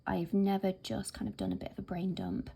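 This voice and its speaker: A woman with a hoarse, croaky voice from being ill, chatting casually to camera about her planner with plenty of fillers. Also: medium pitch, fairly quick. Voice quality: croaky, whispery. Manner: chatty, relaxed, many fillers. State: hoarse from illness. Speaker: planner vlogger.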